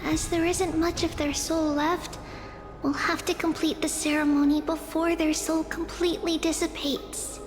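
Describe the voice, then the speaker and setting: High girlish priestess, solemn. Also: little pitch movement, a little slow. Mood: solemn, encouraging. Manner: ceremonial, formal. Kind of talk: video game voice acting. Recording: long reverb, music behind.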